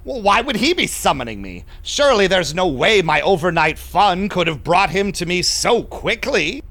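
A man's voice: high-pitched, very animated, normal speed, fairly bright tone, no echo, heavily acted. An actor playing a shady, smug vampire businessman who narrates his audio drama with sly, mock-polite theatricality. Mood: wry, scheming. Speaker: narrating lead character.

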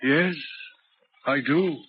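An actor in a 1940s radio drama playing a lonely, brooding railway signalman who speaks in a grave, deliberate, halting and courteous way. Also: a little high. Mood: brooding, solemn. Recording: dull, noisy 1940s radio recording.

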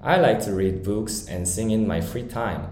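A man, reading a podcast intro in a measured, read-aloud way. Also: fairly low pitch, a little mellow, very echoey, a little hiss or hum. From South Korea. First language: Korean. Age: 20s. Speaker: podcast host and student.

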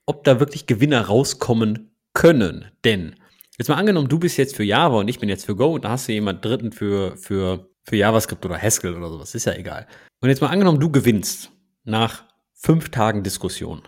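A man chatting casually as a podcast co-host, teasing and telling things in a jokey, animated way. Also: fairly low pitch, fairly quick. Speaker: podcast co-host, engineering manager.

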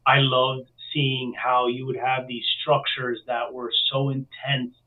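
A man's voice: medium pitch, monotone, slow. A man from Miami speaking as an interview guest, casually telling his own story over a remote call. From Miami, United States. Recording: distant, thin phone-like call audio.

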